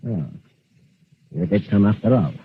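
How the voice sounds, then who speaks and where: An actor playing a gloating, theatrical mad inventor villain in a vintage radio drama, sneering and cackling. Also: male voice, fairly low pitch, animated, moderate pace, narrow, tinny audio, natural voice. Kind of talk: 1940s radio acting. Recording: scratchy old radio broadcast.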